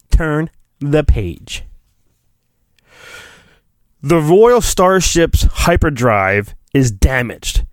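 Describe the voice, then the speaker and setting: Theatrical man reading storybook, very animated pitch. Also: dry recording, studio quality. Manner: theatrical, drawn-out emphasis, flippant asides. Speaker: podcast host doing a solo storybook reading. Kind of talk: mock-dramatic children's storybook reading with crude asides.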